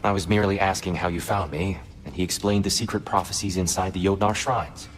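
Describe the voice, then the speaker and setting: An actor playing a gentle, courteous old god in a video game, speaking in a formal, measured, humble way. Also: male voice, low voice, steady tone, faint music behind, natural voice. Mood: warm, grateful. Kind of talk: cinematic video game acting.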